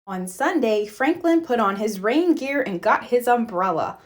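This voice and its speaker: Expressive woman reading a storybook aloud. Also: on the high side. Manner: slow, gentle, expressive. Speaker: children's book reader. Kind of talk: read-aloud storybook narration.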